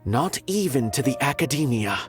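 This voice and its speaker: An actor playing a proud, eloquent former artist in a video game, speaking in grandiose, formal monologue. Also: male voice, medium pitch, sing-song, normal speed, close to an everyday voice. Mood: solemn, impassioned. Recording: music behind the voice.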